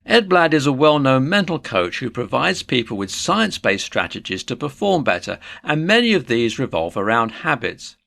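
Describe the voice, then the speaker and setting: A man's voice: mid-range voice, gravelly, a bit bright. A man reading a scripted informational narration, presenting to the listener in an upbeat way.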